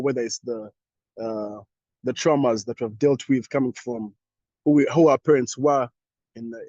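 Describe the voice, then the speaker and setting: A young Ugandan man speaking English with a Ugandan accent, answering thoughtfully as a panelist in an online discussion, with many pauses and restarts. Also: medium pitch, dark tone, dry recording, muffled recording.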